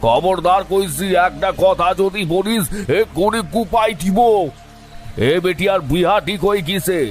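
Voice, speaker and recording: Guy doing angry village man in audio drama, rural bengali dialect, high voice, threatening, music behind. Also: normal speed, lightly acted. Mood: furious threatening. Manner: confrontational, threatening, repeats warnings. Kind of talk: amateur audio drama acting. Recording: background music under voice.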